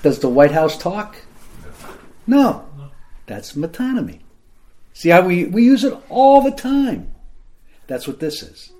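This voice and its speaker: Slow, deliberate male Bible teacher. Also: high-pitched, very animated, warm tone. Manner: conversational, explains plainly, keeps checking in with listeners. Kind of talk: verse-by-verse Bible teaching to a live group. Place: room with listeners present.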